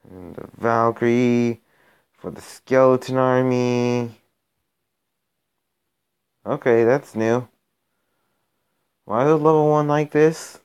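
A young man hosting a mobile game channel, his voice a bit raspy from being sick, rambling and cutting himself off as he comments on his matches. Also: medium pitch, takes it slowly, long pauses, a little mellow, lo-fi sound. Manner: rambling, self-interrupting, gets exasperated during play. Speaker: gaming channel host. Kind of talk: casual gameplay commentary.

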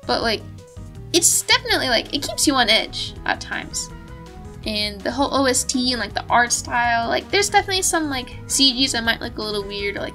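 A woman's voice: fairly high pitch, bright, forceful tone. A woman talking off the cuff in a casual, rambling, giggly way with some swearing, like a video creator giving her opinions. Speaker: video creator reviewing visual novels. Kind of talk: unscripted casual chat.

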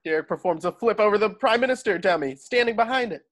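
A man with a fairly high voice serves as narrator. He reads out scripted stage directions for a table read on a video call. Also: animated, fast talker, a bit bright.

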